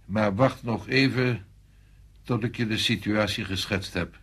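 Radio play general: husky, authoritative, measured, explaining things to a subordinate officer. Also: male voice, on the low side, a bit bright, full character voice. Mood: commanding. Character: authoritative male French general briefing a subordinate. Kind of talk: Dutch radio play acting.

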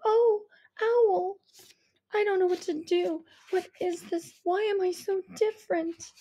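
A woman's voice: very high-pitched, unhurried, mellow, voice pushed up, low-quality recording. A woman voicing a frightened, upset teenage girl. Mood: distressed. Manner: distressed, pleading.

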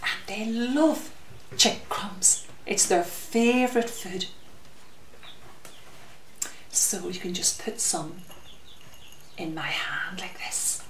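A middle-aged woman hosting story time for young children, speaking expressively in a sing-song way with drawn-out words. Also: medium pitch, lively intonation. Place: glass-walled conservatory at home. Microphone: camera mic a little way off. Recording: roomy echo, distant mic, faint noise.

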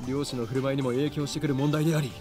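A man's voice: mid-range voice, fairly even intonation, racing, dark tone, soft background music, natural voice. A man voicing a grandiose young self-styled mad scientist in a visual novel, pompous and theatrical. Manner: pompous, dramatic. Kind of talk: Japanese visual novel voice acting.

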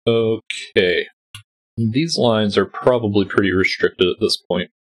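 A man's voice: lowish voice, unhurried, breathy edge. A man with a stuffy, congested voice, patiently talking through a sudoku solve step by step as host. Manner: patient, explanatory, thinking aloud. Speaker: host solving a sudoku puzzle. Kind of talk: calm step-by-step puzzle walkthrough. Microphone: voice-over on a screen recording.

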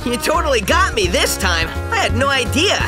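A man's voice: extremely high pitch, sing-song, normal speed. A man with an American accent voicing a cocky, easygoing teenage hedgehog hero in a video game cutscene. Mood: cheerful. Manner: breezy, confident. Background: loud music.

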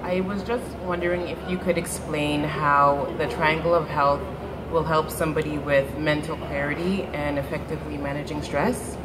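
A woman from Canada with a very low voice asks a polite, formal question from the floor. Loud hall noise and echo surround her voice. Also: steady tone, moderate pace. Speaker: audience member asking question. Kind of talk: audience question.

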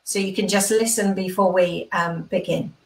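A woman's voice: medium pitch, fairly even intonation, normal speed. A middle-aged woman, a church minister, leading online worship and prayer informally from a room at home. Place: small room at home, indoors. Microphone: computer mic on a video call. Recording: roomy home video-call sound.

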